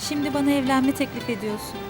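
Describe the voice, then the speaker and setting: An actress playing a young servant's daughter who is hurt but firm, speaking quietly and reproachfully in a TV drama. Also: female voice, sits high, quick pace, natural voice. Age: young adult. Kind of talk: TV drama acting. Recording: TV drama with music score.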